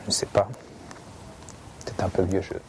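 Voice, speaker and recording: A man's voice: normal speed, slight background noise, natural voice, low-quality recording. A man playing a shy, old-fashioned man who hesitantly talks about waiting for a date. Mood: wistful. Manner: hesitant and quiet.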